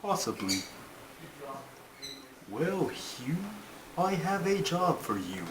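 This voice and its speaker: Terry is an earnest, bossy turtle from a homemade puppet comedy, voiced by a man. He tells his tales very deliberately in stiff, formal wording. The voice sits a bit high and moves slowly, with clear noise on the recording. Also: big pitch swings. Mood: solemn storytelling.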